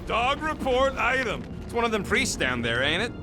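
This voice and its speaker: A cranky, cynical little rodent from a video game, wisecracking in rough slang. The voice sits high and swoops around a lot, with music playing clearly behind it. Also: male voice, very animated, normal speed. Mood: grumbling. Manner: gruff slangy wisecracking with dropped grammar. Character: cynical, irritable small talking raccoon-like rodent tech expert.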